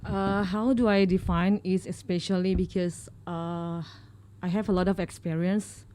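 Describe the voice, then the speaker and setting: Halting Indonesian-accented woman guest. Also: fairly low pitch, fairly even intonation, warm tone, slight background noise. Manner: halting, many fillers and restarts. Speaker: radio guest. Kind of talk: casual talk in English as a second language.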